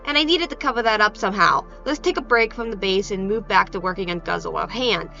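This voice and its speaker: A woman's voice: mid-range voice, fast, gravelly, bright, forceful tone, muffled recording. A woman narrating her craft project in an informal, playful and self-deprecating voice-over, hesitant in places. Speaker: craft video creator. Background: background music.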